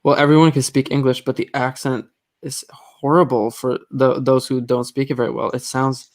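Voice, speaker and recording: A man with a Norwegian accent, a native Norwegian speaker, hosting a casual live stream in a relaxed, joking way with some hesitations. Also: mid-range voice, moderate pace. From Norway. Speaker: host.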